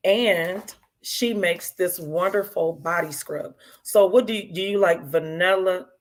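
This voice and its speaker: A woman's voice: on the low side, unhurried. A woman in her fifties, talking casually as a guest and repeating herself for emphasis. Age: 50s. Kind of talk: casual chat.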